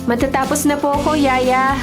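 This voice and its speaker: A woman's voice: high-pitched, flat delivery, normal speed, close to an everyday voice. A woman playing a sweet, modest young female student in a Filipino radio drama. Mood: warm, modest. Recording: loud music and crowd sound behind.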